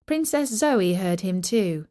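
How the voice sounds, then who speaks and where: A woman narrating a scripted children's story as its host, expressive and carefully phrased. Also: medium pitch, slow pace.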